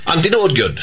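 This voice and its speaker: A man's voice: a little high, bright, forceful tone, slight background noise, heavily acted. A man with a Cebuano Filipino accent playing a cheerful hired killer in a radio drama, deferential to his bosses and chuckling between sentences.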